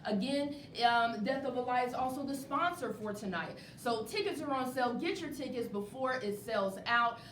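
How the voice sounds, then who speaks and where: A woman hosting a casual live interview, encouraging and quick to affirm what people say, promoting as she goes. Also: fairly bright tone. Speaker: live show host interviewing a play's cast. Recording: echoey noisy room, distant mic, low rumble.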